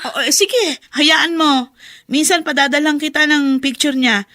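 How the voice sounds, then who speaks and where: A woman's voice: high voice, steady tone, measured pace, sharp tone, slight background noise. An actress playing a mother working abroad in a radio drama, emotional and pleading. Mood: friendly.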